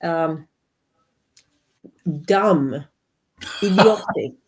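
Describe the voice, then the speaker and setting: A woman's voice: lowish voice, extremely slow, rough recording. A woman in her fifties from Sweden, with a Swedish accent, talking earnestly and reflectively as an interview guest. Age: 50s. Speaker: guest, life and business coach.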